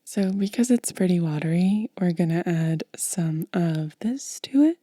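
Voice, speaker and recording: Woman, whispered ASMR demonstration, very soft dark tone, gentle step-by-step manner, dry close-miked sound. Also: lowish voice, lively intonation, no room sound. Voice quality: breathy whisper. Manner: gentle, unhurried, step-by-step explaining. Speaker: ASMR content creator. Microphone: close ASMR microphone.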